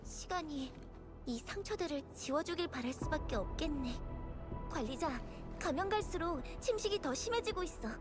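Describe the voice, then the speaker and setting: A woman's voice: high-pitched, animated, very fast, over music. A woman voicing a watchful, playful young female companion in a game. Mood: urgent, cautious. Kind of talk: game voice acting.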